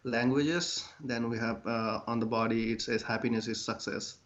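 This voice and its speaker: A man's voice: mid-range voice, fairly even intonation, moderate pace, a bit bright. Man on a dull call line. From Bangladesh. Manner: earnest, hesitant, many fillers. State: under the weather. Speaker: guest, clothing brand founder. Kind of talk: casual interview answers.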